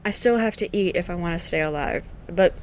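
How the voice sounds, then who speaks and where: A woman's voice: fairly low pitch, a little fast, a little hiss or hum, natural voice, rough recording. A woman playing a weary, defiant daughter in an audio drama, sardonic and pleading. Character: weary, defiant daughter travelling with her father.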